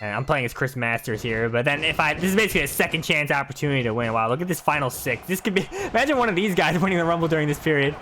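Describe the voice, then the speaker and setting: A man's voice: on the high side, animated, racing, hardly any pauses, sharp tone. A man giving casual, conversational gameplay commentary like a chatty fan. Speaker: video game commentator.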